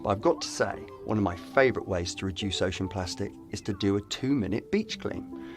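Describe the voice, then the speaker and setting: A man's voice: on the low side, animated, a little fast, music in the background. A young man co-hosting a marine biology livestream in casual, chatty talk. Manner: chatty, reads viewer comments aloud.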